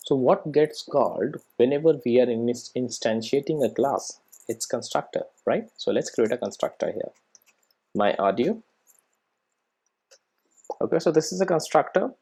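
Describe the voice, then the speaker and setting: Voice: medium pitch, animated, normal speed, long pauses, dark tone, breathy edge. A presenter giving an informal programming tutorial, thinking aloud while working and often checking in with short rhetorical questions.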